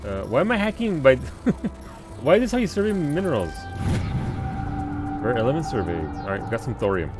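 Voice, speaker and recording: A man's voice: mid-range voice, very animated, normal speed, long pauses. A man casually chatting to his viewers while playing a game live, rambling and laughing at himself. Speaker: game streamer. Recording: game music playing underneath. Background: game music.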